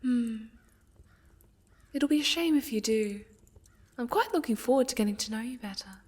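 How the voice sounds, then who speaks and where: A woman playing a haughty, sinister necromancer villainess in a soft-spoken ASMR roleplay, silky, condescending and mockingly sweet, often sinking to a whisper. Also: fairly high pitch, slightly rough. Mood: sly, curious. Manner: condescending, silky, menacing, drawn-out.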